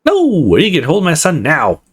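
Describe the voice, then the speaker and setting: A man's voice: fairly high pitch, wildly swooping pitch, brisk, breathy edge, voice pushed up, heavily acted. A man voicing a rude, demanding old woman in a visual novel game. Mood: impatient, demanding. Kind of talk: visual novel character read-aloud.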